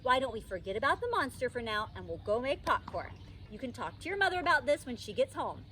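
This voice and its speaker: A woman acts a storybook grandmother who is patient but weary and firm. She reasons in a no-nonsense way, over clear outdoor noise. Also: fairly high pitch, big pitch swings, fast talker, bright, forceful tone. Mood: placating. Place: outdoors in a park. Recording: noisy outdoor recording.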